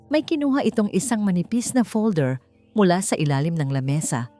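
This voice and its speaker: A woman narrating a dramatic story in an expressive, suspenseful storytelling manner. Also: lowish voice, fairly quick, soft background music.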